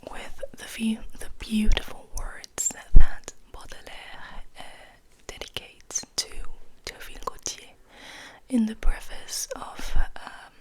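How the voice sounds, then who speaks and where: A woman whispering ASMR as host, rambling softly and intimately to the listener, sometimes slipping between two languages. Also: fairly high pitch, monotone, poor audio. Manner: slow, intimate whisper, addressing the listener affectionately; switches between English and French. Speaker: ASMR host and poetry reader.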